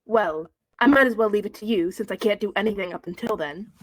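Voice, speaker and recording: A woman voicing a calm, wry male monkey inventor from an animal fan story. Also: medium pitch, fairly quick, no room sound, voice pushed down, lightly acted, poor audio.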